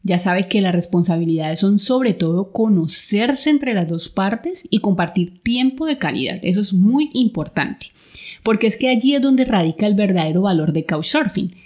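Colombian woman podcast host, mellow voice. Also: medium pitch, lively intonation, moderate pace. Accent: Colombian Spanish. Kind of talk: travel podcast talk, partly scripted.

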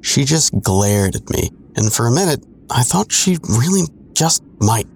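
A man's voice: medium pitch, very animated, measured pace, vocal fry, a little hiss or hum. A man playing a wry, self-deprecating storyteller in an audio drama, narrating his reckless youth with sardonic digressions. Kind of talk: scripted first-person audio drama narration.

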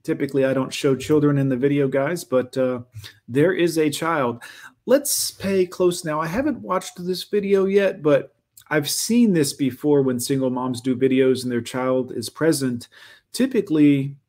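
A man's voice: a little high, moderate pace, a little mellow. A man hosting a reaction commentary, talking over a video with mocking, sarcastic asides.

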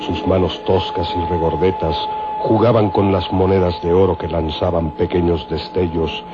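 A man narrating a radio serial dramatically, with solemn, measured phrasing. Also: low voice, steady tone, heavy background noise. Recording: dull old radio recording under loud music.

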